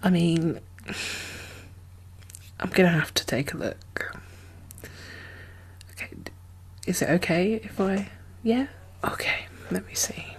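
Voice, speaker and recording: A gentle apocalypse medic acted by a woman speaks in a soft, half-whispered ASMR style. She takes her time, keeping a low, reassuring and slightly hesitant conversational tone as she tends to a survivor. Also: slow pace, long pauses. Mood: gentle concern. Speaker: ASMR roleplay performer.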